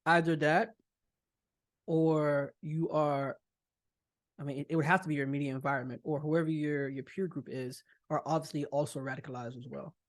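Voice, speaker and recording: A man co-hosting a casual chat, calmly explaining background and backing up his partner. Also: a little high, normal speed. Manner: explanatory, adds context, affirming interjections. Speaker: podcast co-host.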